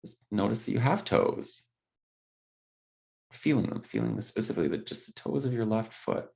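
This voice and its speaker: A man leading a guided body scan meditation, speaking gently in unscripted, hesitant phrases. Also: lowish voice, animated, normal speed, vocal fry.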